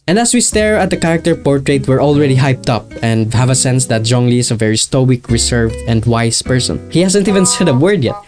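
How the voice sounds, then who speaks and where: A man's voice: medium pitch, a little mellow. A man giving casual, scripted video commentary in an informal, self-deprecating, jokey way. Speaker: video essayist, channel host. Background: guitar and plucked-string music.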